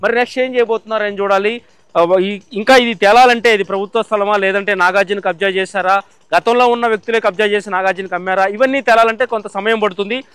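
A man's voice: high-pitched, fairly even intonation, quick pace, a bit bright, clean tone, no echo. A man reporting the news from a site, explaining step by step with fillers and restarts.

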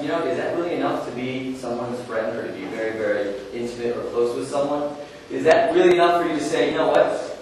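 A young man giving a conference workshop talk to an audience, earnest and conversational, asking questions and using casual fillers. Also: medium pitch. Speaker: workshop presenter. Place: conference room, speaking to an audience. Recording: echoey room, distant mic, faint noise.

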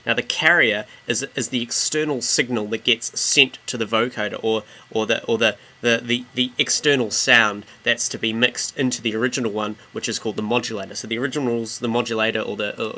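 A man from New Zealand giving a casual software tutorial as a music production tutor, informally explaining and rephrasing himself as he goes. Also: medium pitch.

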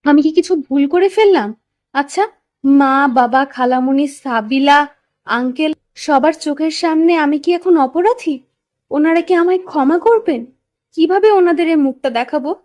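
Woman acting shy young bride, very high voice, anxious, hesitant, guilt-ridden, audio romance drama. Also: measured pace, darkish tone. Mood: anxious, guilty. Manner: hesitant, stammering when distressed. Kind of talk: dramatized audio love story.

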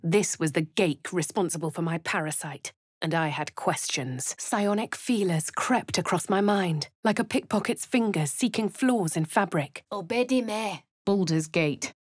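Posh English woman narrating a game, husky. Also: fairly low pitch, no echo. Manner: refined, measured, clipped delivery. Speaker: player character narrator. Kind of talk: first-person game narration. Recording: dry studio voice-over.

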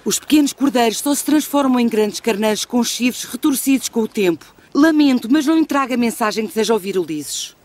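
A woman with a European Portuguese accent playing a wise, stern yet compassionate goddess in a dubbed animated film, measured and formal. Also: fairly high pitch, natural voice. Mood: solemn, consoling.

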